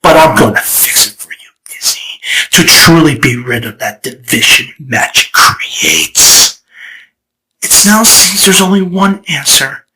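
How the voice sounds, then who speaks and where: A man's voice: a little high, very animated, sharp tone, exaggerated voice, low-quality recording. A man voicing a scheming, theatrical male chaos spirit in a fan comic dub. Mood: theatrical menace. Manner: dramatic, teasing, slow and deliberate. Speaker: storyteller figure.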